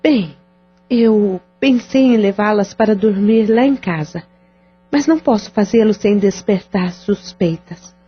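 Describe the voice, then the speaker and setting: An actress playing a caring friend who gently persuades and reassures the others. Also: female voice, medium pitch, a little slow, husky. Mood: coaxing. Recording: dull lo-fi audio with faint music.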